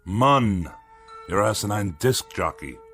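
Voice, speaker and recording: A man's voice: on the low side, lively intonation, takes it slowly, sharp tone, faint music behind, exaggerated voice. An actor playing an irritable, haughty man in a radio drama, speaking with pompous, sarcastic indignation. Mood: indignant.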